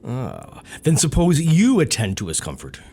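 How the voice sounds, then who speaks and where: A man's voice: mid-range voice, wildly swooping pitch, moderate pace, warm tone, husky, heavily acted. Man acting a brooding, bitter manor master, swinging widely. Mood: cold, gruff. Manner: curt, menacing, inhospitable. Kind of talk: radio drama acting. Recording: faint background noise.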